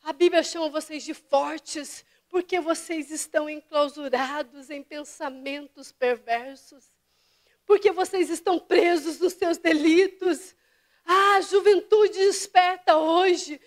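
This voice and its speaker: Lady pastor preaching to her church, high voice, takes her time, whispers then cries out. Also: takes it slowly. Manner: fervent preaching, swinging from hushed whispers to impassioned cries. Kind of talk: sermon. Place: church service.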